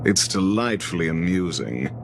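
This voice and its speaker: A man's voice: sits low, lively intonation, moderate pace, creaky, slight background noise. An actor playing an arrogant, theatrical male scholar in a video game, drawling and mocking with withering sardonic pomp. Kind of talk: video game cutscene voice acting.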